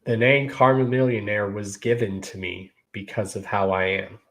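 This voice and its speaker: A man in his thirties hosts his own show, speaking in a fairly low voice. He keeps it relaxed and conversational, sprinkling "you know" and "y'all" through a casual monologue to the people watching. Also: moderate pace. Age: 30s. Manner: laid-back, repeats "you know" and "y'all". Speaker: podcast host.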